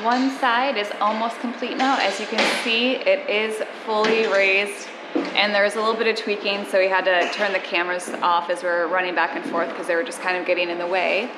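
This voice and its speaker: A woman's voice: mid-range voice, little pitch movement, fairly quick. A woman in her thirties casually explaining a boat build step by step, filming herself with a camera held at arm's length in a large covered shed. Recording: noisy shed recording.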